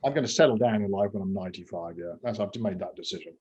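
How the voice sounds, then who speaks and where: A man's voice: low voice, fast, a little mellow, slightly rough, highs cut off. A man in his sixties from England, a podcast guest talking casually and rambling, with lots of fillers and self-mocking asides. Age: 60s. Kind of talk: casual interview talk.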